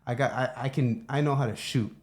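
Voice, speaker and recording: A middle-aged man hosting a podcast in his home studio, talking in a relaxed, reflective way and thinking aloud with restarts. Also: medium pitch, brisk. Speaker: podcast host. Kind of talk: casual conversation.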